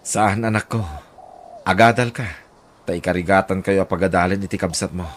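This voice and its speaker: A man's voice: deep voice, steady tone, a little fast, a little hiss or hum, lightly acted. An actor with an Ilocano accent playing a humble, hardworking middle-aged father in a radio drama. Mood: firm, caring. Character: humble, hardworking poor father and laundry worker.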